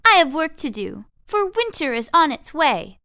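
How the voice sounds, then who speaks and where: A woman's voice: high-pitched, big pitch swings, normal speed, bright, forceful tone, dry recording, studio quality, voice pushed up, full character voice. A woman voicing a hardworking, grouchy female mouse in a children's storybook, solemn, scolding and curt. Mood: irritated.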